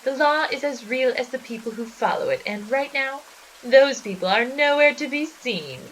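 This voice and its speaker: A woman's voice: high-pitched, expressive, moderate pace, a bit bright, a little hiss or hum, rough recording. A woman playing a menacing, gloating spider monster in flapper guise, theatrical and taunting, in a 1920s gangster-style audio drama. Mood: menacing, scornful. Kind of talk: 1920s gangster slang radio drama acting.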